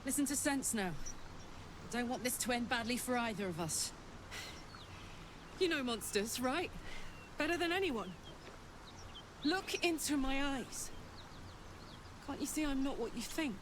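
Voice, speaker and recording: A woman's voice: sits high, lively intonation, unhurried, pauses often. A woman playing a brash, battle-hardened warrior on the run from devils in a video game, with a blunt, cocky, sweary manner. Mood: earnest pleading. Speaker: video game companion character. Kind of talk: dramatic video game voice acting. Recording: game audio with clear background noise.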